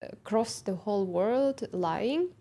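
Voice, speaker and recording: Halting woman with a mellow voice. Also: medium pitch, animated. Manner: hesitant, halting phrasing. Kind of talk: conversational chat.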